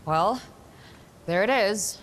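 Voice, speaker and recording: A woman's voice: mid-range voice, lively intonation, slow pace, slight background noise. An actress playing a guarded, determined young woman adventurer in a fantasy video game, terse and matter-of-fact. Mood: resolute. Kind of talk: dramatic video game acting.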